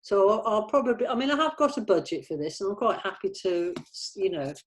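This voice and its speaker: Chatty middle-aged woman, medium pitch. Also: very animated, fairly quick, slight background noise. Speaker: producer. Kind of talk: casual production meeting chat.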